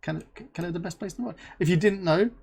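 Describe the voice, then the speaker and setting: A livestream host chatting playfully with a guest and reading chat aloud, bubbly and expressive. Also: mid-range voice, racing, creaky, crowd noise. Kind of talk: live-stream interview and chat reading.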